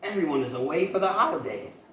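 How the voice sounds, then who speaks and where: A man's voice: fairly high pitch, expressive, quick pace, sharp tone, pitched above the performer's own voice. A man voicing a scheming, gloating male burglar in a storybook, sly and confident. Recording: roomy, distant mic, faint noise.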